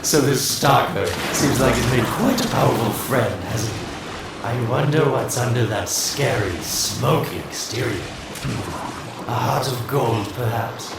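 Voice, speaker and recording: A man's voice: mid-range voice, lively intonation, measured pace, vocal fry, very noisy. A man playing a sly, mocking, self-interested schemer in a video game, with a teasing, theatrical drawl. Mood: smug teasing. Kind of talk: video game character acting. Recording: echoey, distant, under loud game music.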